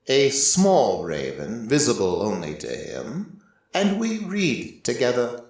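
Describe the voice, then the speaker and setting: A man narrating a first-person story in a measured, scripted storytelling style. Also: mid-range voice. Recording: roomy, echoing voice recording.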